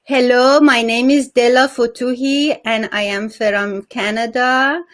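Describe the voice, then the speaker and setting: A woman putting on an exaggerated Persian accent, speaking slowly and carefully with a sing-song lilt. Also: fairly high pitch, fairly even intonation, a bit bright. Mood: playful.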